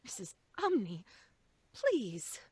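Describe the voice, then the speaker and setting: Fretful, genteel mother, lively intonation. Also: mid-range voice, takes it slowly, husky, no room sound, heavily acted. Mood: uneasy, polite. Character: genteel, worried mother, fussing and placating. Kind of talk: old-time radio drama acting. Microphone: studio microphone on a video call.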